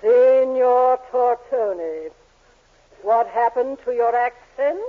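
A woman's voice: on the high side, slow. A woman playing a haughty, indignant middle-aged matron in a 1940s radio sitcom, with theatrical, affronted exclamations. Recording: tinny narrow-band 1940s radio recording.

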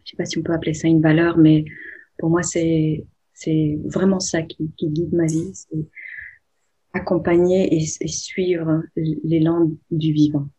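A woman in her forties, a therapist, giving slow, reflective interview answers with many pauses and hesitations. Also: sits low, flat delivery, mellow, poor audio. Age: 40s. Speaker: therapist guest.

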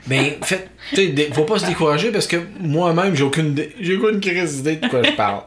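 A man's voice: on the high side, expressive, fairly quick, a bit bright. A man with a Québécois accent, co-hosting a casual podcast and teasing his partner with playful asides. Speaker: podcast co-host. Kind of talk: casual chat.

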